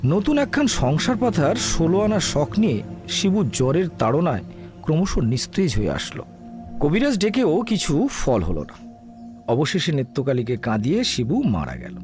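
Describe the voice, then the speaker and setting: A man's voice: fairly high pitch, wildly swooping pitch, normal speed, a little mellow, faint music behind. A man narrating a scripted comic audio drama as a dramatic storyteller.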